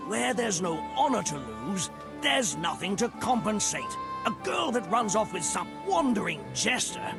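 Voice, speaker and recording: A scornful medieval peasant in a game cutscene. The voice is high and gravelly, accusing and self-righteous. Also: male voice, big pitch swings, a little slow, over loud music, full character voice. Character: quarrelsome, foul-mouthed medieval villager, self-righteous father. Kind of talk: video game cutscene acting.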